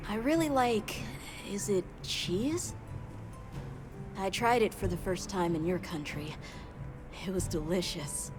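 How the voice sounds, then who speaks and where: A woman's voice: medium pitch, takes it slowly, over music, close to an everyday voice. A woman voicing a shy, curious young newcomer in a video game, talking in a hesitant, earnest way. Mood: shy pleasure. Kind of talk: video game cutscene voice acting.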